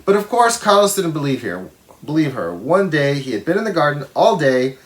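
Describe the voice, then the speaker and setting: A man reads a picture book aloud to young children in an expressive way, pausing to explain pictures and put questions to the listeners. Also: on the high side. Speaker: educator reading a picture book to schoolchildren.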